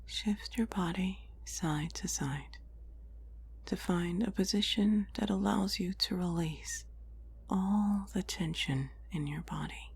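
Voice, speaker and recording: A woman whispering a guided meditation in a breathy, soothing, deliberate voice with long pauses between phrases. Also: on the low side, a little mellow. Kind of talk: whispered guided relaxation, ASMR-like scripted narration.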